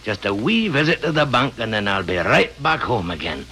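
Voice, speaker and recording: A hearty Scottish rancher. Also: male voice, fairly low pitch, very animated, brisk, pushed and bright, slightly rough, full character voice. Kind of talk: 1940s radio acting.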